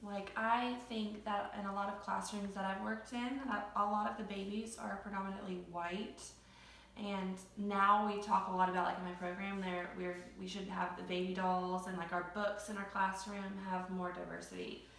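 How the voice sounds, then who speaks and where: A woman interviewing a parent, encouraging and quick with affirmations, who works as an early childhood teacher. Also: mid-range voice, level tone, fairly bright tone, a little hiss or hum. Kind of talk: casual recorded interview. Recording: echoey room, distant mic.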